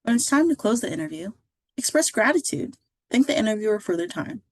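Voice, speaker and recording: A woman reading a scripted instructional narration as a career services presenter, in a clear, measured, instructive way. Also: on the high side, very animated, a little mellow, no room sound.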